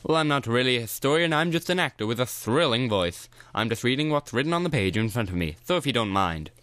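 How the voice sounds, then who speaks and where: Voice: medium pitch, lively intonation, quick pace, fairly bright tone, no room sound, natural voice. A performer playing a pompous, boring historian narrating a radio play.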